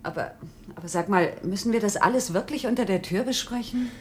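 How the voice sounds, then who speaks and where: An actress playing a breezy, teasing girlfriend in a radio drama, chatty and expressive. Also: medium pitch, fairly quick, lightly acted. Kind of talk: German radio drama acting.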